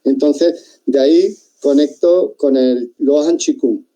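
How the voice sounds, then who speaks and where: A man's voice: a little high, slow, warm tone, muffled recording. A man from southern Spain with an Andalusian accent, talking as an interview guest and telling a long story with many restarts over a video call. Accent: Andalusian Spanish. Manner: rambling, restarts often, thoughtful. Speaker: interview guest, martial arts teacher. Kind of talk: casual interview chat.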